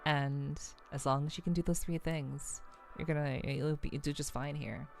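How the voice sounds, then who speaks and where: A woman hosts a cozy, casual ASMR livestream in a low, soothing voice with plenty of vocal fry. She speaks in a relaxed, halting way, addressing viewers directly. Faint music plays behind her. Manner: relaxed, unhurried, halting delivery. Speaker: VTuber livestream host.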